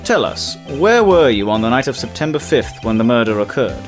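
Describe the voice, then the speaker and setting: A man's voice: medium pitch, big pitch swings, normal speed, close to an everyday voice. A man voicing a smug, arrogant young male prosecutor who speaks in a cutting, condescending way. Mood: formal. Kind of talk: fan dub game voice acting. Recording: with background music.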